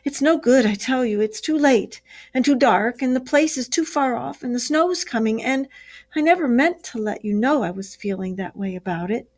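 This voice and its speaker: A woman's voice: on the high side, normal speed, a little mellow, voice pushed up, close to an everyday voice. A woman voicing a timid, tearful male mole who pleads anxiously in a storybook read-aloud. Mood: pleading.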